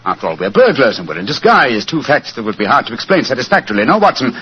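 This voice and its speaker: A man plays a shrewd, ageing British gentleman detective in vintage radio drama. He talks quickly, with big swings of pitch and a dry, commanding politeness. The old recording is muffled. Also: very animated, slight background noise, lightly acted. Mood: brisk. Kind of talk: 1940s radio drama acting.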